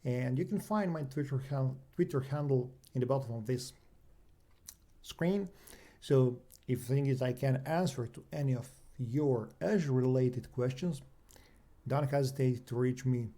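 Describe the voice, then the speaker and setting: Dark-voiced Serbian man presenting a tech session. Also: mid-range voice. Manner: halting, non-native English phrasing with self-posed questions. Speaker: cloud engineer and trainer presenting an online session. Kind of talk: explanatory technical presentation.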